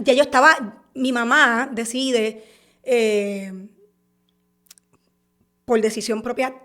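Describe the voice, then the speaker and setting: A woman's voice: fairly high pitch, fairly bright tone. A woman with a Puerto Rican accent, a podcast guest in her thirties, giving a personal testimony and often restarting and repeating words as she speaks. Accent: Puerto Rican Spanish. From Puerto Rico. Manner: halting, repeats and restarts words while recounting.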